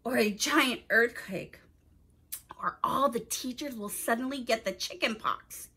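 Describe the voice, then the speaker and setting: A woman's voice: on the high side, a little slow, pushed and bright, slightly rough, heavily acted. A woman as a gloomy, mumbling young schoolboy. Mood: wishful gloom. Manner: mumbling, hesitant.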